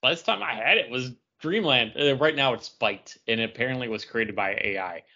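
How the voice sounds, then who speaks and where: A man's voice: medium pitch, fairly quick, bright, forceful tone. A man co-hosting a casual podcast about pop culture, talking in a rambling way and often interrupting himself. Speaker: podcast co-host. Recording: dull lo-fi audio.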